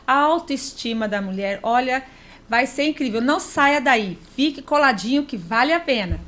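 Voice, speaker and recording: A woman's voice: sits high, expressive, normal speed, a bit bright. A Brazilian woman hosting an interview podcast, chatting enthusiastically and effusively, often addressing her audience directly. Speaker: podcast host.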